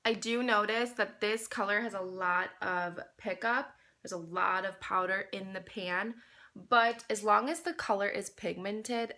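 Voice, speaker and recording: Bright-voiced woman with a nasal cold. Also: medium pitch. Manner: chatty, addresses viewers as you guys. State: congested with a cold, slightly nasal. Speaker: beauty vlogger. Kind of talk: casual makeup tutorial chat.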